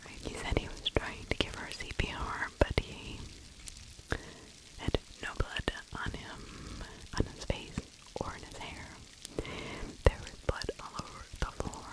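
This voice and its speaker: A middle-aged woman speaks entirely in a breathy whisper, very close to the mic. She narrates in an unscripted true crime ASMR style, halting between short phrases. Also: rough recording. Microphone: large microphone with furry windscreen, very close.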